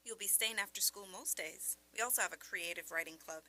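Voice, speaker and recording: Woman acting bright, welcoming school guide. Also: mid-range voice, brisk. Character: welcoming, efficient school staff woman giving tour. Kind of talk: radio drama acting.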